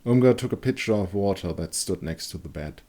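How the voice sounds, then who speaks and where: A man giving a dramatic scripted narration of a dark fantasy story. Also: sits low, lively intonation, fairly quick. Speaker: author and narrator.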